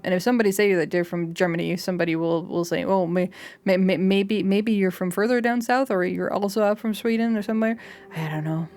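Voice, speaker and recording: A woman's voice: medium pitch, brisk, darkish tone. A young Danish woman with a light Danish-tinged English accent, a streamer rambling casually with lots of fillers and restarts. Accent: light Danish, mixed English accents. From Denmark. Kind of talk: casual chat with live chat.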